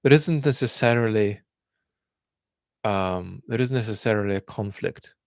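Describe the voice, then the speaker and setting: A man whose first language is Russian, hosting a casual live Q&A and thinking aloud in a hesitant, reflective way with frequent pauses and restarts. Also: fairly low pitch, no echo, studio quality. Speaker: host reading viewer questions.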